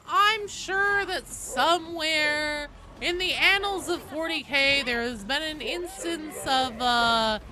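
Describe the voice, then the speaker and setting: A woman's voice: sits high, noisy background. A woman livestreaming a game, chatting casually with viewers in an irreverent, sweary, talkative manner. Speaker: game streamer and host.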